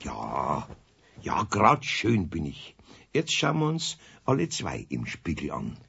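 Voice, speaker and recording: A man's voice: deep voice, huge pitch swings, slow, vocal fry, a bit bright, husky, exaggerated voice. An actor playing a good-natured, grumbling older master craftsman with a Bavarian accent in a 1970s children's radio play. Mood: amused protest. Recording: dull vintage radio recording, faint noise.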